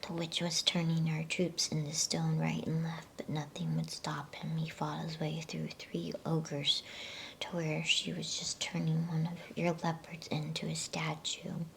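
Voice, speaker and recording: A woman reading a bedtime story in a breathy, soothing ASMR whisper. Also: deep voice, little pitch movement, slight background noise. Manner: hushed, unhurried, soothing delivery. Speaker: storybook reader.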